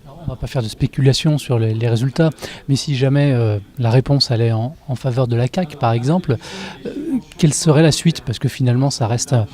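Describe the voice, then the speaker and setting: Guy interviewer on local tv, talks quick, voice kinda dark and mellow. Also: mid-range voice. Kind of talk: interview questions.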